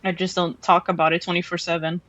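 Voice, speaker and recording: A woman's voice: on the low side, little pitch movement, quick pace, a bit bright, dry recording. A woman from Mexico with a Mexican accent, streaming a game and chatting casually with her chat, often restarting her sentences. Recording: dull lo-fi stream audio.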